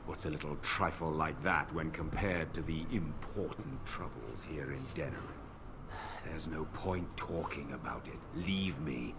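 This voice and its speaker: A man's voice: extremely low pitch, measured pace, vocal fry, background noise, exaggerated voice. A man voicing a bitter, weary nobleman in a fantasy video game, sardonic and dismissive in manner. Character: bitter, weary, scornful nobleman of a war-ravaged land.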